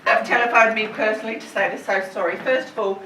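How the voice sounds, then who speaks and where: A woman's voice: medium pitch, steady tone, fast, pushed and bright. Woman in her fifties giving a toast. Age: 50s. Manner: chatty, apologetic, laughing asides. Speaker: company head giving a farewell speech. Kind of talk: informal toast speech at a party. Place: indoor function venue at a party. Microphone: far-off camera, no microphone. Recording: echoey room from far off, noisy.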